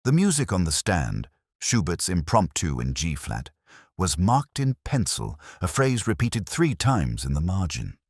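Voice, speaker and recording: A man reading a scripted first-person mystery story in a measured, solemn, literary manner. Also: deep voice, huge pitch swings, slightly rough, no echo, studio quality. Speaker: narrator.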